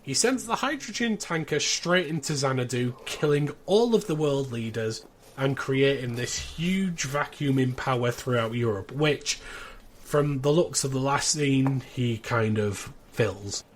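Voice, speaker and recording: A man's voice: medium pitch, expressive, measured pace, slight background noise. A man in his thirties chatting casually and recapping as a podcast co-host.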